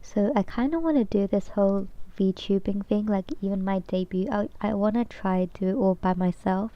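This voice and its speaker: A woman's voice: mid-range voice, moderate pace, dull audio. Young woman, nasal from a cold. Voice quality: hesitant, many fillers and restarts. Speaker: VTuber streamer. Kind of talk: rambling casual chat.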